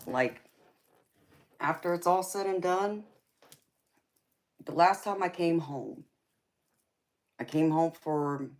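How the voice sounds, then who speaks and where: A woman with a Southern US accent talks with her live stream viewers in an easy, chatty way. She speaks at an unhurried pace, laughs often and calls people baby. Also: sits low. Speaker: tarot reader hosting a live stream. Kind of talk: casual live chat with viewers.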